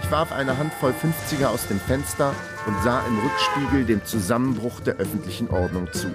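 An actor playing a wry, hapless investigator who narrates his own case in a deadpan way in a radio crime drama. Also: medium pitch, a little fast, close to an everyday voice. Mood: laconic, matter-of-fact. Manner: laconic, deadpan. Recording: music behind the voice.

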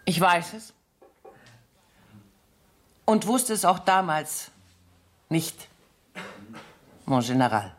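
An actress playing a worldly, coolly defiant courtesan accused of spying in a German radio play, poised and teasing with dry wit. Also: female voice, lowish voice, big pitch swings, very slow, long pauses, exaggerated voice. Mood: cool, evasive.

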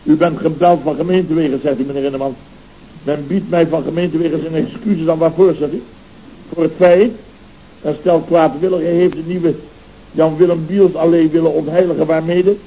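An actor playing a pompous, easily indignant boss of a building firm in a radio comedy serial. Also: male voice, a little high, steady tone, moderate pace, warm tone, natural voice. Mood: flustered, indignant. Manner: pompous, repeating, interjecting. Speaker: company boss. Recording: dull, noisy old radio recording.

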